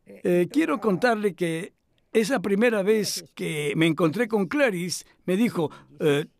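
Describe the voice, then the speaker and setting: An elderly philosopher in a dubbed interview. His voice sits high for a man. He talks reflectively and modestly, with small hesitations, as if in conversation. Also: animated, a little slow, vocal fry, full character voice. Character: elderly male philosopher reminiscing, thoughtful and modest. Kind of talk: Spanish voice-over dub of an interview.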